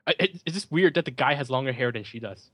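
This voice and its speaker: A man in a dry, close recording talks casually over a movie. His delivery is joking and sardonic, halting now and then as he repeats words. His pitch sits in an ordinary male range. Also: animated, pushed and bright, breathy edge, no echo. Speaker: film commentary podcast host. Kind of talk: casual chat, running movie commentary.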